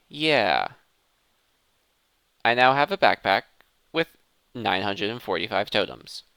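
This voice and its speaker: A man's voice: fairly low pitch, pushed and bright, smooth, no room sound. A man giving casual gameplay commentary, thinking aloud and often interrupting himself mid-thought. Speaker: gaming video host.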